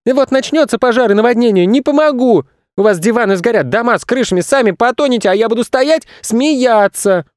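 A man voicing a small, spiteful boy who shouts petulantly and defiantly in a children's story. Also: extremely high pitch, lively intonation, fairly quick, few pauses, no room sound, studio quality, voice pushed up.

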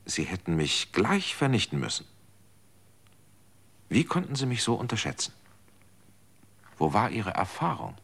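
A man's voice: on the low side, big pitch swings, a little slow, creaky, long pauses, a bit bright, slightly rough, full character voice. An actor in a radio drama playing a guilt-ridden man who pleads and accuses. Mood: anguished, accusing.

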